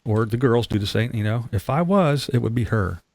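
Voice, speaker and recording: Playful lively-voiced male host. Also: medium pitch, a little fast, dry recording. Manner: playful, joking, laughs easily. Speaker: podcast host. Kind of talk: casual interview chat.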